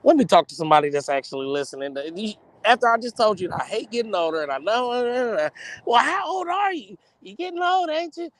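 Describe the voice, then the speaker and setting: An American man in his thirties talks in loose, slangy conversation. He is animated and theatrical, piling up mock protest as he banters with friends. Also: sits high, brisk. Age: 30s. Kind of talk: casual chat with slang and banter.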